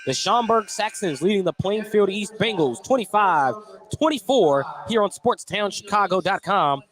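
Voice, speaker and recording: A man's voice: high-pitched, animated, moderate pace, a bit bright, a little hiss or hum. A man doing live play-by-play basketball commentary as a radio announcer.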